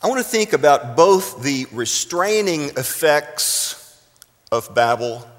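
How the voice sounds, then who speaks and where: A middle-aged American man from South Texas preaching a sermon deliberately, with dramatic emphasis and pauses. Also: medium pitch, fairly bright tone. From South Texas, United States. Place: large reverberant room, speaking to a congregation. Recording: echoing hall with long reverb.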